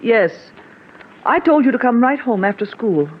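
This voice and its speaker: An actress playing a stern, fed-up housekeeper in brusque 1940s radio drama style. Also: female voice, a little high, animated, moderate pace. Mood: scolding. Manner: scolding, brusque. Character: stern, fed-up female housekeeper, sharp-tongued. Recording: tinny vintage radio recording.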